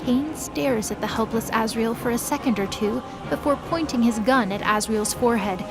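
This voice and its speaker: A woman narrates an audio drama in a fairly high voice. She reads scripted third-person action as the storyteller. Clear music plays behind her. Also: normal speed.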